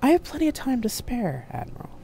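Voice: mid-range voice, wildly swooping pitch, fast talker, creaky, dark tone, slight background noise, pitched above the performer's own voice. A narrator voicing a serene, commanding female avatar of a revered scientist in an audiobook. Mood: firm, commanding.

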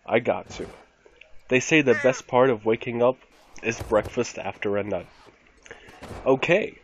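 Man, theatrical dramatic reading, very varied pitch, deliberate pauses, muffled game voice chat, faint noise. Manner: theatrical, deliberate pauses between short phrases. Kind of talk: mock-dramatic spoken reading of rap lyrics. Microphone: computer mic over game voice chat.

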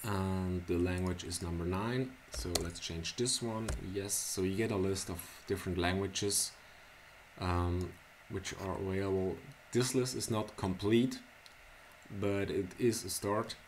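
A man from Switzerland whose first language is Swiss German, talking through a computer tutorial in a very low, deep voice. He speaks slowly and haltingly, stopping for fillers and restarting words. A soft hiss and keyboard clicks sit behind him from his desk mic. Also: fairly even intonation. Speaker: software tutorial presenter. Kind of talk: casual screencast tutorial. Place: small indoor room at a computer.

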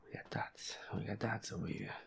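A man muttering to himself in a breathy, whispery voice as he plays a game, repeating himself and swearing. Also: moderate pace. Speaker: gamer playing a horror game. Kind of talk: hushed solo gameplay chatter, thinking aloud.

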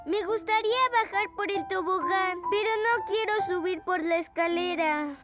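A woman voicing a gentle young panda boy in a children's cartoon, childlike and earnest. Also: very high-pitched, moderate pace. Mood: hesitant. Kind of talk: animated children's cartoon dub. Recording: music behind the voice.

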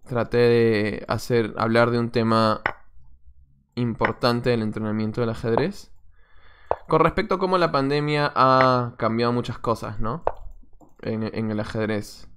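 A man's voice: medium pitch, steady tone, a little slow, a bit bright, slight background noise. Young man thinking aloud over a chess game. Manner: thinks aloud, muttering moves, chatty asides to viewers. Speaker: chess coach streaming a game. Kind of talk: live-stream commentary while playing. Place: small room indoors.

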